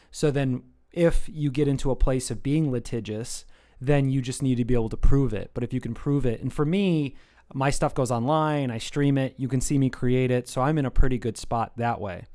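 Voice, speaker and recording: An American man chatting casually on a live art stream, thinking out loud as he talks. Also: mid-range voice, smooth. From United States. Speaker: streaming artist. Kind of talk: casual chat while drawing on stream.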